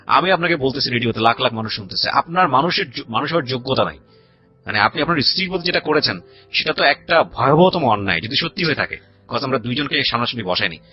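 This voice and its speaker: A Bangladeshi man hosts a radio interview with a very animated, outspoken delivery. He talks quickly and slips English phrases into his sentences. The sound is somewhat dull, with faint music behind him. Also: medium pitch, breathy edge. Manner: mixes English words and phrases into speech; opinionated, outspoken. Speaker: radio show host. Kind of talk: conversational radio interview with personal commentary. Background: background music.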